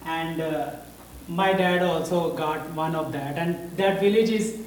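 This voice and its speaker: A man with a Pakistani accent, a Punjabi speaker talking in English, addressing a church congregation haltingly and searching for his words. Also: fairly high pitch, soft background music. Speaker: visiting missionary speaker. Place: church hall. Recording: roomy hall audio from a distance.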